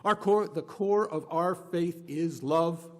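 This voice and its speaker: A service leader with a male-sounding voice giving an earnest, solemn welcome address to a congregation in deliberate phrases. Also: medium pitch. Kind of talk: prepared sermon-like welcome address. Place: church service, speaking to congregation.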